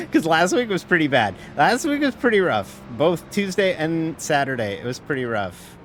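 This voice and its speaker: A man's voice: fairly high pitch, big pitch swings, a little slow, fairly bright tone, a little hiss or hum. A man streaming a sim race, chatting casually in a rambling, self-mocking way, full of fillers and casual swearing. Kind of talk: casual live-stream chat while racing.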